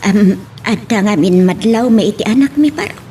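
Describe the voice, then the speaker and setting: A woman's voice: mid-range voice, lively intonation, moderate pace, dark tone, slight background noise, lightly acted. A woman playing a kindly, concerned mother in an audio drama, hesitant and fretful. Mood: worried. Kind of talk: Ilocano radio-style drama acting.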